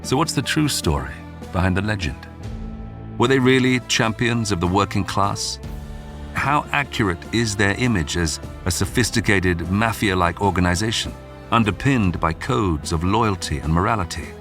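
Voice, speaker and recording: Deep-voiced male documentary narrator over music. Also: normal speed, fairly bright tone. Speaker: documentary podcast narrator and host. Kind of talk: scripted narration. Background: background music.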